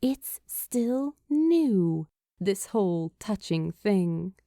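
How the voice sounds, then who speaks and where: Female narrator for fiction audiobook: unhurried, soft-edged tone, very dry intimate sound. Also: mid-range voice, no room sound. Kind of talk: scripted story narration.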